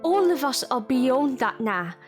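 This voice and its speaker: A woman's voice: high-pitched, big pitch swings, a little slow, over music. An actress playing a weary but determined, kind working-class London woman in an audio drama. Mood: resigned, resolute. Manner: earnest, plain-spoken.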